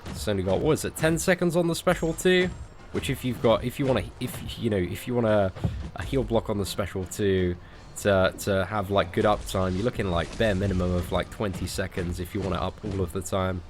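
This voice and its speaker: A man's voice: fairly low pitch, a little fast, soft background music. A British man hosting a gaming livestream, chatting casually with friendly banter and lots of filler words. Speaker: livestream host playing a mobile game. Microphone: desk microphone.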